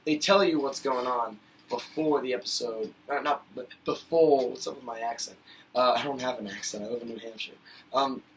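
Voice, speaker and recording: A man's voice: medium pitch, moderate pace, recorded from a distance, a little hiss or hum, rough recording. A man vlogging a casual, rambling review of a cartoon episode, chatty and full of fillers, getting worked up now and then.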